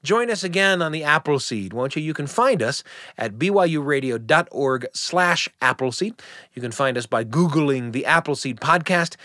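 A man's voice: fairly high pitch, lively intonation, moderate pace, sharp tone, dry recording, studio quality. A man hosting a radio storytelling show, narrating in a scripted but conversational, wry way. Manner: conversational, wry asides to the listener.

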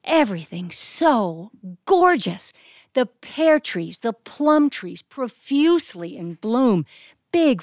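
An American woman telling a story live on stage in an expressive, descriptive manner with deliberate phrasing. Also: medium pitch, no echo, studio quality. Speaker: storyteller and actress. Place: performance studio.

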